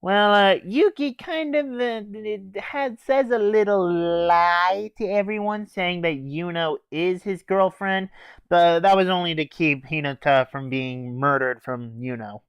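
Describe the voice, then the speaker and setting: A woman's voice: fairly low pitch, measured pace, smooth, dry recording. A young woman delivers a casual, comedic review. She takes her time, stumbling and hesitating as she goes, with a dry manner. The recording has very little room sound. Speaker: anime reviewer.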